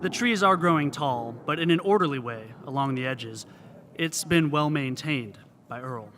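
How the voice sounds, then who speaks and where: A man's voice: fairly high pitch, normal speed, a bit bright, slight background noise. A man reading scripted narration as a radio reporter.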